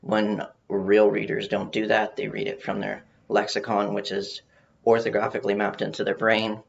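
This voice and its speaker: A man giving an informal research presentation, speaking haltingly with some repeated words, on muffled audio. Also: on the low side, completely flat delivery, vocal fry, slight background noise. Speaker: presenter on learning to read.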